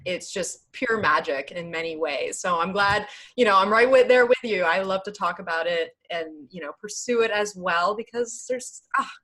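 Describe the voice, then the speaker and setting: A woman's voice: mid-range voice, normal speed, hardly any pauses, fairly bright tone, low-quality recording. Enthusiastic woman hosting a chatty podcast. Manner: effusive, enthusiastic. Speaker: podcast host interviewing a guest. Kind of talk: casual, chatty podcast interview.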